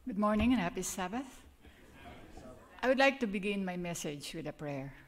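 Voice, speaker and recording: A woman's voice: medium pitch, expressive, normal speed. An older woman from the Philippines with a Filipino accent, leading a prayer and preaching a church sermon in an earnest, chatty way. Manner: earnest, chatty, laughs at herself. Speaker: guest speaker.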